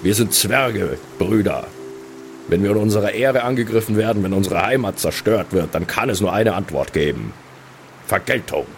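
Man as a deep-voiced, solemn dwarf cleric. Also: normal speed, creaky, slight background noise. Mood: vowing vengeance. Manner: grave, declamatory. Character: solemn, vengeful dwarf cleric of a god of order.

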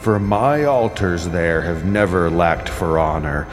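Man voicing mighty exasperated god-king, lowish pitch, declamatory, ancient epic audio drama, clear music behind. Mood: stern. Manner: grand, deliberate, declamatory. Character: mighty, imperious king of gods, exasperated husband. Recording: long cavernous reverb, loud music behind.

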